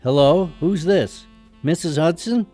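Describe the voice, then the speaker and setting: A man's voice: on the high side, a little slow, mellow, faint music behind. A man playing a wisecracking, defiant American lawyer son in a radio drama, with a folksy, sardonic manner. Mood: concerned. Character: wisecracking, defiant lawyer son, cynical but soft-hearted.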